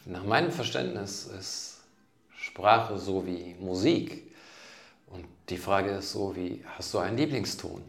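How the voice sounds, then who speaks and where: A man in his fifties talks in a deep voice with a gravelly edge, unhurried and reflective. He pauses and restarts sentences as he thinks aloud. The recording has a noticeable room echo. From Germany. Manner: thoughtful, halting, restarts phrases. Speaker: guest writer. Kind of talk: reflective conversation. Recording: roomy echo.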